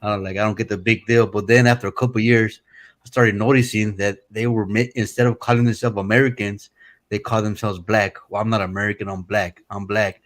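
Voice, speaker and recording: A low-voiced man from Mexico, Spanish his first language, rambling in a relaxed, unhurried way. He speaks bluntly and swears freely, calling in to a live stream. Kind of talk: casual opinionated chat.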